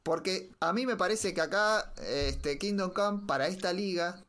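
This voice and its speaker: Man, Rioplatense Spanish accent, somewhat high pitch, casual debate, questioning, argumentative. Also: measured pace, a bit bright. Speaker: podcast panelist. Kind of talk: casual comic-book debate.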